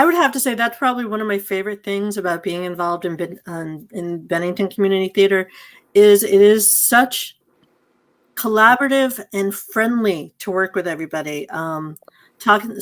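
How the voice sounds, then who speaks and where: A woman hosts in a casual, unscripted way. Her mid-pitched voice is earnest and effusive as she chats. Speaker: host and community theater member. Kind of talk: casual, unscripted hosting chat.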